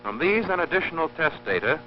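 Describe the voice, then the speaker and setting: A man narrating an instructional film in a formal, scripted, measured and precise teaching manner. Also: a little high, very animated, a little hiss or hum. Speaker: narrator of an industrial training film. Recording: dull lo-fi old film soundtrack, humming.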